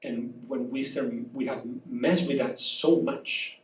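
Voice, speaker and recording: A man with a Spanish accent, congested and soft-voiced, speaking haltingly as a guest professor in a public conversation. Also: medium pitch. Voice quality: soft, weak voice. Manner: halting, searching for words, apologetic. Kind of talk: public conversation, reflective lecture talk. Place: café. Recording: echoey room from far off.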